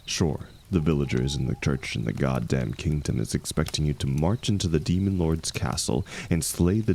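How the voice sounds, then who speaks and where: A man playing an aging, wounded warrior, the hero's loyal and gentle shield, speaking softly and haltingly in an intimate audio roleplay. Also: extremely low pitch, gravelly, a little hiss or hum, heavily acted. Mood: gentle, reassuring. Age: older. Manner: soft, halting, confiding, reassuring.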